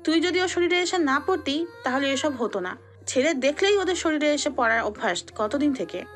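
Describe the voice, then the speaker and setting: A confident senior student lays down the law, firm and protective of her friend, as a woman plays her. Her voice is high and steady, and faint music runs underneath. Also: normal speed. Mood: stern. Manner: firm, commanding.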